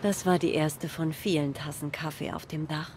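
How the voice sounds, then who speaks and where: Woman playing a low-voiced, wistful waitress. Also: fairly even intonation, moderate pace, noisy background, close to an everyday voice. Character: earnest, wistful working woman, diner waitress cat. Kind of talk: German game dub, dramatic dialogue.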